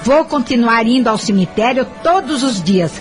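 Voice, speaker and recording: A woman's voice: a little high, animated, moderate pace, over music, close to an everyday voice. A woman with a Brazilian accent acting a harsh, grieving mother in an audio drama. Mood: bitter, scolding. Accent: Brazilian Portuguese. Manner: harsh, scolding.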